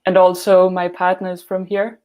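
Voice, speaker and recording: A woman from Denmark with a Danish accent, answering interview questions in a measured, understated, conversational way. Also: lowish voice, monotone, a little mellow, smooth. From northern Denmark. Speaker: guest, glassblower and student.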